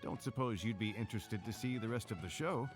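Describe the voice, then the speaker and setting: An actor voicing an earnest, apologetic stage performer in a video game, friendly and self-deprecating. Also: male voice, fairly low pitch, fairly quick, music in the background, natural voice. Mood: modest, friendly. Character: earnest, apologetic, struggling theater actor man, modest and friendly.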